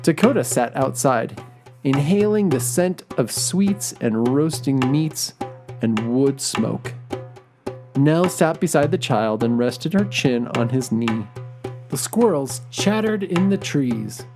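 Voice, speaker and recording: A man narrating a children's folktale and asking discussion questions, reading gently and expressively. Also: mid-range voice, lively intonation, slow. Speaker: storyteller. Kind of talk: scripted children's story narration. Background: music.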